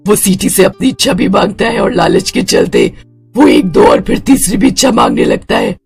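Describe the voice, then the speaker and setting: A gloating horror-story witch played by a man, high and gravelly. She taunts her victim with menace, swinging widely in pitch and cackling as she mocks. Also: wildly swooping pitch, faint music behind, full character voice. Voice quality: raspy, cackling. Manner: mocking, drawn-out taunting. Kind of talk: Hindi horror story character acting.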